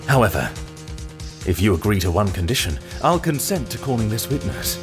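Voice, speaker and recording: A man's voice: on the low side, sing-song, a little fast. A man voicing a smug, formal rival prosecutor in a video game dub, precise and pompous. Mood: stern. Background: music.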